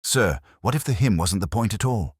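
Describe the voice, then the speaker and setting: A man's voice: fairly low pitch, expressive, moderate pace, a little mellow, husky, no room sound, studio quality, voice pushed up. A man voicing an eager, earnest police sergeant who offers theories as the sidekick in a mystery story.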